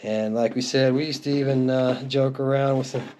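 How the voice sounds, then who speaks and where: A man's voice: mid-range voice, steady tone, moderate pace. A middle-aged man narrates a collection in a casual, unscripted, reminiscing way, as if chatting through it. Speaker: music collector presenting their collection. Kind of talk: casual show-and-tell narration. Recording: clearly noisy home recording with clicks.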